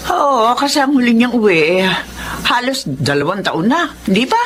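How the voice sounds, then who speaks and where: A woman's voice: on the high side, normal speed, a little hiss or hum. An actress playing a kind, motherly mother-in-law who offers help, in an audio drama. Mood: caring. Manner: caring, lively. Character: kind, motherly older woman.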